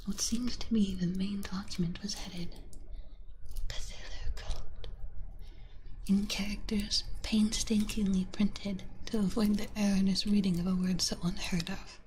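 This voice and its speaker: A woman's voice: medium pitch, fairly even intonation, a little slow, poor audio. Woman whispering a spooky story asmr style, binaural mic, little bit of hiss behind. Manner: soft unvoiced whisper throughout. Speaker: VTuber ASMR streamer. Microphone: binaural microphone.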